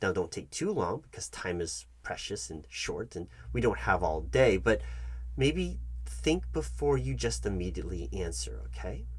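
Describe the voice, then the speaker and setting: A man's voice: low voice, very animated, normal speed, slightly rough, no room sound, exaggerated voice. A man playing a pompous, bumbling job interviewer in a comedic roleplay, condescending and fussy, stammering and correcting himself. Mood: indignant, condescending. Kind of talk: comedic ASMR roleplay addressed to the listener.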